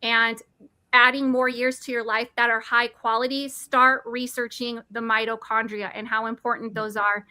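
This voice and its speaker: A woman's voice: a little high, bright, forceful tone, no room sound. A woman in her forties enthusiastically explaining mushroom supplements, eager and nerdy, often restarting words. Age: 40s. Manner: eager, nerdy, self-correcting. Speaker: product presenter.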